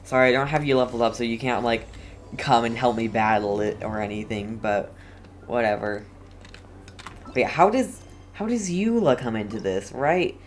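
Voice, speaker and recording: A game streamer giving casual commentary in a rambling, hesitant way, with halting phrases. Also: mid-range voice, slight background noise.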